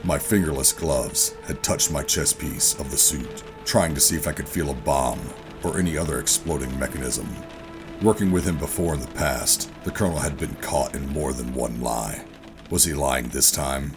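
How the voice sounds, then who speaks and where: Man playing a very deep-voiced, bitter covert operative. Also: normal speed. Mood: brooding narration. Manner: defiant, brooding. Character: bitter, defiant male covert operative, reluctantly back in service. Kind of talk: sci-fi audio drama acting with first-person narration. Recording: music bed under the voice. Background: music.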